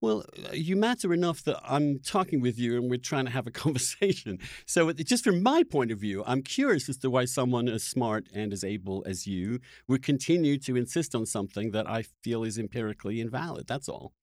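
A man with a mid-pitched voice hosts a debate-style interview. He presses his points skeptically and insistently. The sound is dry, with no room echo. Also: lively intonation, a little fast, hardly any pauses. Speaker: podcast host and interviewer.

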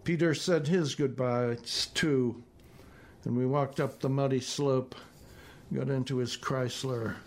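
A man narrates a literary short story as its author. His pace is very slow and his delivery measured and deliberate, in a medium-pitched voice. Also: steady tone, slight background noise. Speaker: author reading own story.

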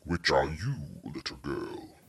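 A man voicing a disguised man playing an ominous cinder spirit, with a deep gravelly drawl and slow, mock-menacing delivery. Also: sing-song, a bit bright, full character voice.

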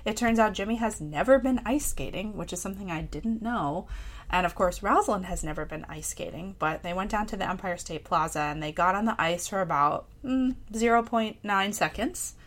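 A woman's voice: medium pitch, lively intonation, moderate pace, a bit bright. A woman with a mid-range voice reads a personal essay aloud as if for podcast listeners. Her delivery is reflective and candid. It stays conversational, with a lightly self-amused edge. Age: adult parent of a young child. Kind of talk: personal essay read aloud with casual asides.

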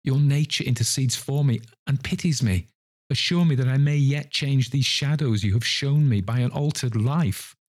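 A man's voice: medium pitch, moderate pace, no room sound, pitched above the performer's own voice, natural voice. An old miser from a storybook, acted out by a man, repentant and wildly fervent. He exclaims breathlessly and theatrically, in a very dry recording with no room sound. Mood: desperate pleading. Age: older. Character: excitable old miser, repentant, giddy with joy.

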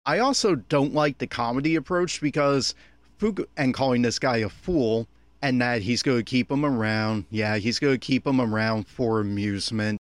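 A man's voice: mid-range voice, normal speed, dry recording. A man giving an unscripted running commentary in a critical, exasperated, opinionated manner. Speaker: commentator on an anime episode.

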